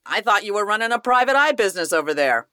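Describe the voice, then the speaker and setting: An actress playing a scheming, imperious playwright in a radio play, with a melodramatic, emphatic delivery. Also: female voice, mid-range voice, big pitch swings, fast talker, bright, forceful tone, dry recording, clean studio sound. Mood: imperious, impatient.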